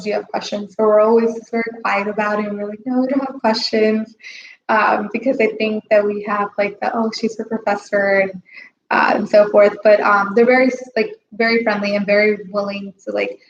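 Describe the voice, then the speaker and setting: A woman's voice: mid-range voice, little pitch movement, fairly quick, roomy sound, muffled recording, slight background noise. A young woman guest on a live stream, chatting casually about her studies and saying "like" a lot. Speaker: language student guest.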